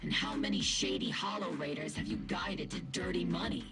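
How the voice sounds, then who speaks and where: A woman acts out a judgmental captain from a military video game. The delivery is stern and haughty with very lively pitch, set over clearly audible music. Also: moderate pace, lightly acted. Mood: scornful, accusing.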